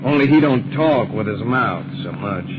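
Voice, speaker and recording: Blunt, sneering American western thug for a male actor, animated pitch, slangy threats, old radio crime drama with noisy car sound. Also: normal speed, close to an everyday voice. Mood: menacing. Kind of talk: 1940s radio acting. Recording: dull old radio recording, clear noise.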